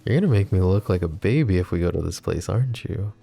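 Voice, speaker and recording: A man voicing a wry, tender mafia boss in an ASMR roleplay, soft-spoken and teasing. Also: deep voice, lively intonation, fast talker, vocal fry, husky, faint music behind, heavily acted. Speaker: voice actor.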